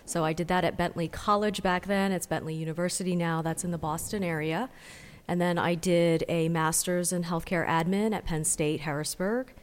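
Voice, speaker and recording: A woman's voice: on the low side, steady tone, normal speed, clear, resonant tone. A woman in her forties, born in Japan with Japanese as her first language, chatting as a podcast guest and explaining things plainly. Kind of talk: casual interview chat.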